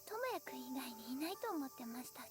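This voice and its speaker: Casting: devoted young anime heroine, very high and soft-hearted, music behind. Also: female voice, animated, rapid-fire. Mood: tender. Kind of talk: Japanese anime drama acting.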